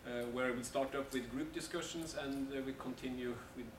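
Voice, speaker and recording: Male seminar organizer, halting delivery, distant room mic with echo. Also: medium pitch, steady tone. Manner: hesitant, many fillers and restarts. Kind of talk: announcements at a seminar. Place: meeting room. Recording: echoey room from far off, noisy.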